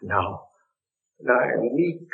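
An actor playing a fevered, frightened soldier imprisoned by the Inquisition, halting and theatrical in old radio horror style. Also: male voice, medium pitch, animated, creaky, slightly rough, exaggerated voice. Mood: afraid. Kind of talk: 1940s radio horror acting. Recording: dull vintage radio drama recording.

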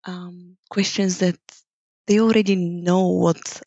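A woman's voice: on the low side, steady tone, slow pace, dark tone, dry recording. A woman from Bulgaria, a guest on an interview who speaks thoughtfully, searches for her words and laughs lightly. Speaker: guest, yoga teacher. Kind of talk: reflective interview conversation. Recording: dull, dry lo-fi recording.

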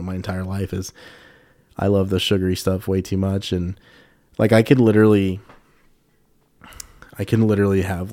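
A man's voice: sits low, dark tone, dry recording. A young man with a slightly congested voice, hosting a solo podcast in a loose, candid, rambling way with plenty of fillers and the odd swear word. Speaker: podcast host. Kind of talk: casual solo podcast chat.

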